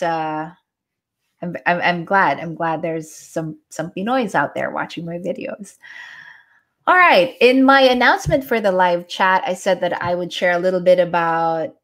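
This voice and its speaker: Woman in her forties, Filipino accent, lowish voice, easygoing live chat, home setting. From Philippines (Manila). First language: Tagalog. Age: 40s. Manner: chatty, reads and answers viewer comments. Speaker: yoga instructor hosting a live chat. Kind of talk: casual live-stream chat. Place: at home during a live stream.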